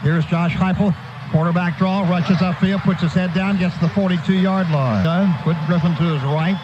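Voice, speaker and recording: A man's voice: high voice, steady tone, moderate pace, lo-fi sound. A man doing live radio play-by-play of a football game, describing the action in short clipped phrases. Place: stadium broadcast booth. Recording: noisy live stadium radio broadcast.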